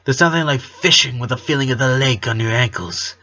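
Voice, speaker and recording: A man's voice: mid-range voice, fairly quick, bright, forceful tone, lo-fi sound, lightly acted. A man voicing an easygoing, chatty middle-aged father from a storybook, fond of teasing and explaining. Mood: easygoing, reminiscing.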